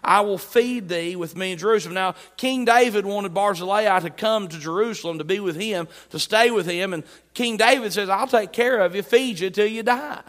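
A man's voice: high voice, normal speed, hardly any pauses, fairly bright tone. A man preaching a sermon, reading scripture and retelling it in plain, emphatic words. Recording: long hall reverb.

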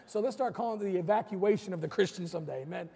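A man's voice: high-pitched, rapid-fire, vocal fry, dark tone, slightly rough, big-room sound. A middle-aged man preaching a sermon, urging his congregation with rising emphasis and calling the music team up. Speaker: pastor. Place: church sanctuary.

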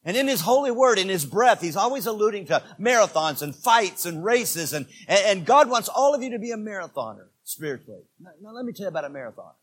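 Husky-voiced preacher in his sixties. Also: sits high, big pitch swings, quick pace, few pauses. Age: 60s. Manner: swings between soft asides and loud preaching. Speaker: pastor. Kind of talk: sermon.

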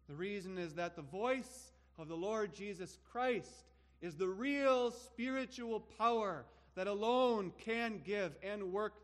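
A man preaching a sermon, slow and emphatic, building his points through rhetorical questions. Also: sits high, big-room sound.

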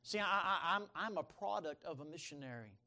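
A middle-aged man, preaching and telling his testimony in a folksy storytelling manner, swinging between loud emphasis and quiet asides. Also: fairly high pitch, lively intonation, brisk. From Bahamas, raised by American missionary parents. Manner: folksy, storytelling, calls for amens. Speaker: missionary preacher. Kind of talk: sermon, personal testimony.

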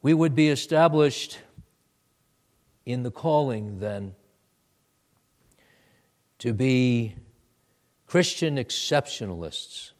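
A man preaching a sermon and leading a prayer, moving from quiet low asides to loud, high exhortation. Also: animated. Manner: deliberate, drawn-out preaching delivery. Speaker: pastor.

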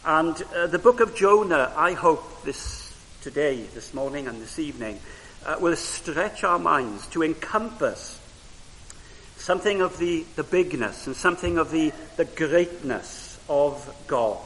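A man whose first language is Welsh, preaching a sermon in a church in a measured way, with frequent pauses and rising emphasis on key phrases. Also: on the high side, lively intonation, a bit bright. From Wales. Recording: reverberant hall with faint hiss.

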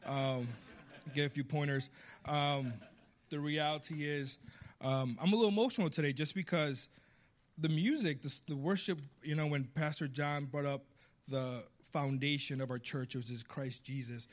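A man's voice: a little high, steady tone, normal speed. Earnest man with slight Puerto Rican accent. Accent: slight Puerto Rican Spanish accent. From Puerto Rico. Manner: earnest, heartfelt, builds up emphatically. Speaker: guest preacher. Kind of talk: sermon.

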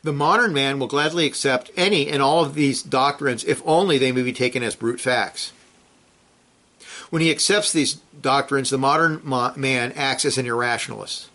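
Bible teacher, male, bright and forceful tone, reads with emphasis, loose asides with restarts. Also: mid-range voice, moderate pace. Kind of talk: reading a theology book aloud, then commenting and praying.

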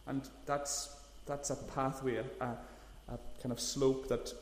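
A man's voice: mid-range voice, level tone, unhurried, slight background noise. A man, preaching a sermon in an earnest, emphatic way, leaning hard on key words. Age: 30s. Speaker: pastor. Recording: echoey hall, long reverb.

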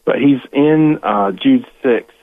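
Male caller on a phone line, mid-pitched, halting and rambling, very tinny and dry sound. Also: dry recording. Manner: hesitant, rambling, many fillers. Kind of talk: call-in question on the phone. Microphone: telephone.

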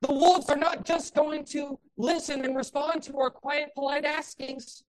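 Woman pastor, fairly high voice, loud and impassioned in the pulpit, hits emphatic peaks. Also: level tone, a little slow. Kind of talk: sermon.

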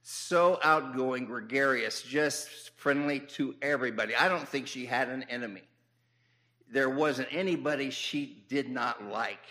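A coach speaks at a memorial in a heartfelt way, repeating warm praise. His voice is mid-pitched and bright. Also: unhurried. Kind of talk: memorial tribute.

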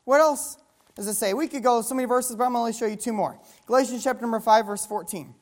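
High-voiced woman preaching a sermon. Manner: call-and-response questions, reading scripture slowly.

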